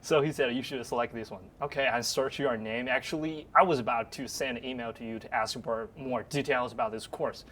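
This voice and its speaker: A young man from China, a native Chinese speaker, answering eagerly in halting, non-native phrasing as a student guest. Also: mid-range voice, fairly bright tone, slight background noise. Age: young adult.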